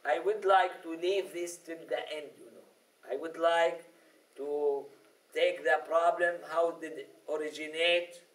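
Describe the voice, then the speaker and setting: A middle-aged man whose first language is Arabic teaches a class, pausing often to ask "okay?". His voice sits high for a man. The sound is roomy and a bit distant, as if picked up from across the classroom. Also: fairly bright tone. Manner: explanatory, frequent "okay?" and "yani" checks. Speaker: religious teacher. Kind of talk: classroom lecture. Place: classroom with whiteboard. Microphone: lapel mic. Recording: echoey room, from a distance.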